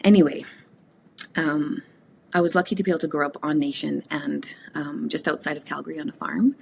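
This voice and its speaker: A woman from Canada whose first language is Cree, speaking reflectively as an interview guest, with frequent pauses and restarts. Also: sits low, fairly even intonation, creaky, darkish tone, a little hiss or hum. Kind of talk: casual conversational interview.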